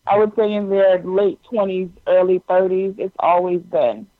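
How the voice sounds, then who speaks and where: A woman's voice: mid-range voice, moderate pace, no echo. Twenties woman guest, earnest and talkative, lo-fi dry audio. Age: 20s. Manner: earnest, explains at length. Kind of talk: casual chat. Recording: dull dry call audio.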